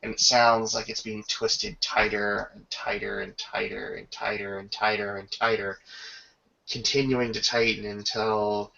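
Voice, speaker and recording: A man's voice: on the low side, level tone, measured pace, vocal fry, bright, forceful tone, muffled recording. A man narrating a tabletop roleplaying game as game master, with ornate, descriptive storytelling.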